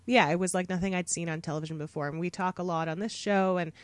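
A woman's voice: on the low side, steady tone, quick pace, dry recording. A young woman co-hosting a casual podcast, playful and laughing often, with frequent fillers. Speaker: podcast co-host.

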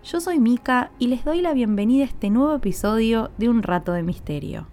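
A woman hosting a podcast, telling stories in a measured, scripted narration. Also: on the high side, lively intonation, dark tone, faint music behind. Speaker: podcast host.

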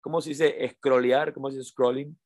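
A man's voice: medium pitch, flat delivery, moderate pace, darkish tone, dry recording, clean studio sound. A man teaching meditation and attention in an informal talk, didactic and repeating his phrases for emphasis. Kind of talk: informal spoken teaching to an online audience.

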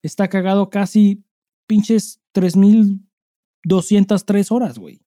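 A man's voice: high voice, fairly even intonation, slow pace, mellow, no echo, clean studio sound. A Mexican man co-hosting a podcast in casual chat, swearing freely and speaking with emphasis in heavy slang. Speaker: podcast co-host.

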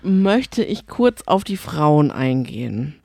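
Casting: female podcast co-host, lowish warm voice, chatty and opinionated, close dry sound. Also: big pitch swings, takes it slowly, gravelly, no echo. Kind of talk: casual chat recapping reality TV.

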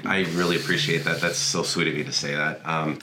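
A man's voice: rumbling low, little pitch movement, fast, roomy sound, a crowd in the background. A man in his forties, a podcast guest telling his story in a friendly, rambling way with many restarts and fillers. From Canada. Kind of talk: casual interview chat.

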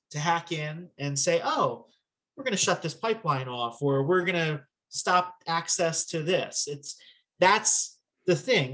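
A man with a Philadelphia accent hosts a casual podcast chat. His voice sits a little higher than most men's. He talks in an easygoing, reflective way. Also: a bit bright. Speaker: podcast host.

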